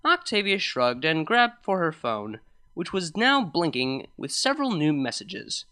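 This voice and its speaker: A woman reading a scripted fan fiction story as narrator, in an expressive storytelling manner. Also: sits low, unhurried.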